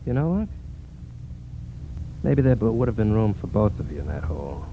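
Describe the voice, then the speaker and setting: An actor playing a young, scheming crook nephew with an American accent and blunt, slangy talk in a 1940s radio drama. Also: male voice, lowish voice, sing-song, moderate pace. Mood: cold, scheming. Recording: muffled, noisy 1940s radio recording.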